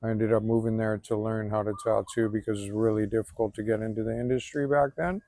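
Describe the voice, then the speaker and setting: A man in his forties from Hawaii, a tattoo artist and swimmer, talking in a relaxed, easygoing way as the guest, outdoors after a swim. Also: fairly low pitch, fast, slight background noise. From Hawaii, Oahu. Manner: relaxed, even-toned, filler-heavy. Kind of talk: casual outdoor interview.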